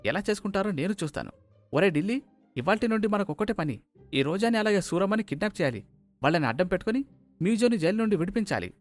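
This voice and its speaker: A man's voice: sits high, very animated, fast, faint music behind, pitched above the performer's own voice. A man voicing a scheming, vengeful gangster villain in a story.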